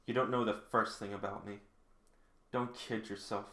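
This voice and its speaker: A man reading the part of a guarded, bitter female vampire from a manga, in a halting, solemn way. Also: on the low side, little pitch movement, pushed and bright. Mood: guarded, angry. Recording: roomy, echoing indoor sound.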